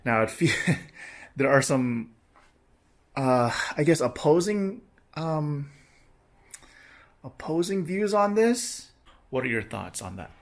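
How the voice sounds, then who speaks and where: A middle-aged man with a hoarse, sore voice, rambling casually in a video diary about his work. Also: a little high, very animated, takes it slowly, long pauses. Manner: rambling, many restarts and fillers. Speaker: medical coding vlogger.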